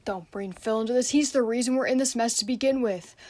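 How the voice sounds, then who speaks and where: A woman's voice: on the high side, brisk, darkish tone, no room sound, close to an everyday voice. A young female student playing an aggrieved, jealous, overlooked son in a radio play. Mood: indignant. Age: teenager or young adult student.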